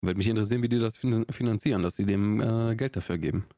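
Young Swabian man, podcast co-host, rattles on quickly in a slightly low voice, lots of "äh", casual chatter. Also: dark tone, no echo, clean studio sound. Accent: Swabian German. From Augsburg, Swabia, Germany. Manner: chatty, rambling, lots of fillers and restarts. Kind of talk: casual chat.

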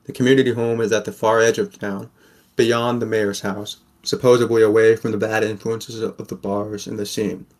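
A man's voice: on the low side, flat delivery, normal speed, darkish tone, close to an everyday voice. A man playing a wary, sardonic sixteen-year-old boy who narrates an audio drama in the first person. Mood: wry, reflective.

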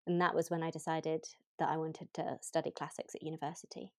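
A woman co-hosting a podcast, telling a personal story with enthusiasm and halting restarts. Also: low voice, little pitch movement, gravelly, dry recording, clean studio sound. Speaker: podcast co-host.

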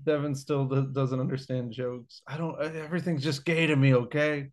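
A man's voice: mid-range voice, fairly even intonation, normal speed. Young man joking with repeated stumbles. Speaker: podcast co-host. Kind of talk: casual crude banter.

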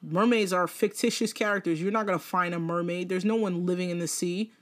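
A young woman co-hosting a podcast in casual chat, animated, laughing often and swearing freely. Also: lowish voice, a little fast. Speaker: podcast co-host.

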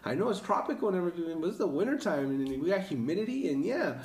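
Male host, higher voice, echoey off-mic. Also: big pitch swings, fast, darkish tone, far from the mic. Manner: encouraging, frequent laughs and affirmations. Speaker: podcast host. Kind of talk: casual interview chat.

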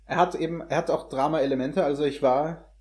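A man's voice: fairly high pitch, fairly even intonation, brisk, a little mellow. A young adult man talking casually about films in as a host, searching for his words and mixing in English slang. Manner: hesitant phrasing mixed with English slang words. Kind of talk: casual conversational film review.

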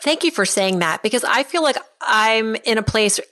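A woman's voice: a little high, animated, moderate pace, sharp tone, dry recording, studio quality, soft background music. A woman talking as a podcast host in a conversational interview style.

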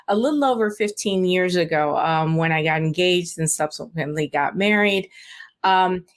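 A fairly low-pitched woman in her forties, raised in Puerto Rico and South Florida, Spanish her first language. She talks through her career in a relaxed, chatty way, looping back with "um" and "you know" as she goes. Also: moderate pace. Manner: chatty, many fillers and restarts. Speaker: estate planning attorney, guest. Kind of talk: casual podcast interview.

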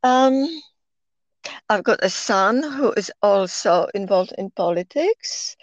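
A woman's voice: medium pitch, lively intonation, takes it slowly, no room sound. A woman in her sixties from Poland with a Polish accent, speaking in a casual podcast interview in an earnest, persuasive way and often repeating herself while she gathers her thoughts. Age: 60s.

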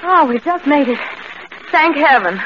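An anxious young woman traveler with a high voice and an uneasy, dramatic manner. It is 1940s radio acting, muffled under heavy noise. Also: animated, measured pace. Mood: alarmed. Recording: muffled, very noisy old radio broadcast.

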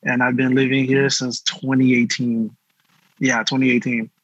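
A young man from Nigeria tells his story in a relaxed, easygoing way during a casual chat. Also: medium pitch, moderate pace, no room sound, lo-fi sound. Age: young adult. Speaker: youth artist guest.

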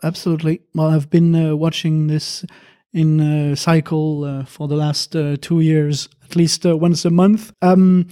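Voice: medium pitch, little pitch movement, unhurried, warm tone. A podcast host asking questions in a casual, stumbling way with many fillers. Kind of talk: casual chat.

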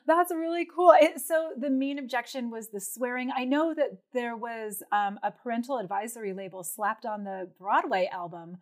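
A woman's voice: fairly high pitch, normal speed, hardly any pauses. A woman with an American accent interviewing a guest in a conversational style.

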